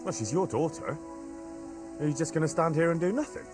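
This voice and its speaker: A man's voice: on the high side, expressive, a little fast, over music, natural voice. An actor playing a blunt, wry young medieval man in naturalistic video game acting. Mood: wry, probing.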